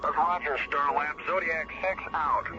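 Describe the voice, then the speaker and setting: Man acting a brisk space pilot over engine roar. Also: a little high, steady tone, lightly acted. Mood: sober reporting. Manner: clipped military radio talk. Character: brisk, commanding space-force pilot on the radio. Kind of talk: radio drama acting. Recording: lo-fi with loud aircraft engine noise.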